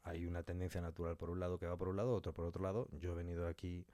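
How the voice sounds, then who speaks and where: A man's voice: low voice, flat delivery, racing, mellow, dry recording. A middle-aged man with an accent from Spain, answering reflectively in a conversational interview, thinking aloud through examples. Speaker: interviewed audio fiction writer and director.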